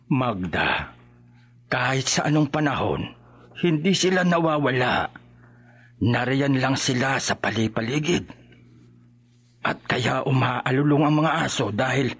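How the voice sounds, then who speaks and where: A man's voice: mid-range voice, expressive, measured pace, pauses often, a bit bright, breathy edge. A man acting in a Filipino horror radio drama as a superstitious, anxious elderly father who speaks in a dramatic, hesitant way. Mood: ominous, grave. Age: older.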